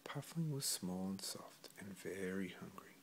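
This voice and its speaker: A man reading a children's story aloud expressively, with gentle, deliberate phrasing. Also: low voice, animated, vocal fry, noisy background. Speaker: storybook reader.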